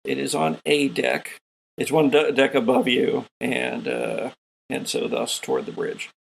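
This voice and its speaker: A man running a tabletop roleplaying game as game master, explaining things deliberately in a conversational way. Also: mid-range voice, vocal fry, slightly rough, poor audio.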